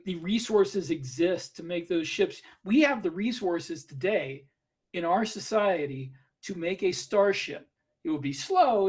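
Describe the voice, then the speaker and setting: High-voiced man arguing methodically. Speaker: podcast co-host. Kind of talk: casual panel discussion.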